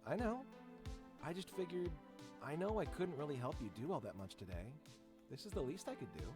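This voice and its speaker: A man's voice: fairly high pitch, sing-song, a little fast. Swooping, doting spirit man over music. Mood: tender, coaxing. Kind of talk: visual novel game acting. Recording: prominent music behind the voice.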